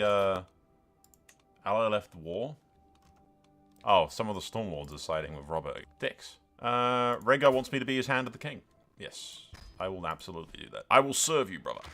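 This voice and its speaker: Male gaming host, lower-pitched but bright and forceful, very animated swings, chatty and sweary story-led commentary. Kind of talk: casual gameplay commentary with roleplay storytelling.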